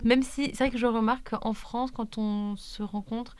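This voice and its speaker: A woman's voice: on the high side, steady tone, normal speed, a little mellow, a little hiss or hum. A woman in a casual group discussion, explaining her observations fluently with a few fillers. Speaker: discussion participant.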